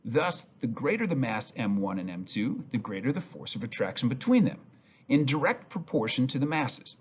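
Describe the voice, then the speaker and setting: A man reading a textbook aloud as a teacher, deliberate and emphatic, with occasional stumbles and a husky voice. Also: medium pitch, sing-song, a little hiss or hum. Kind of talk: read-aloud lesson with brief asides. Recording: dull lo-fi sound.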